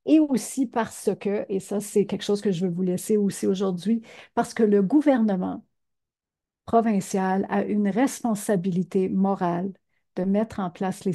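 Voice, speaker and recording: A woman's voice: medium pitch, creaky, warm tone. An older woman, giving an earnest talk to seniors with many fillers. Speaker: presenter.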